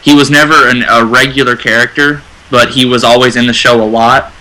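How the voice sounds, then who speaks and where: A man's voice: medium pitch, fairly even intonation, a little fast, sharp tone, low-quality recording. A man talking casually in a fan discussion, feeling his way and circling back over his phrases. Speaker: radio show panelist.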